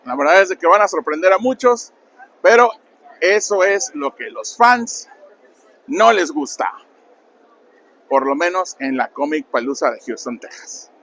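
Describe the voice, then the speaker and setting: A man's voice: high voice, animated, moderate pace, pauses often, fairly bright tone, rough recording. A man from Mexico with a thick Mexican accent, interviewing fans at a convention in a friendly, stammering way. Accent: Mexican Spanish. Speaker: interviewer and channel host. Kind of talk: casual fan interviews at a convention. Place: busy convention hall.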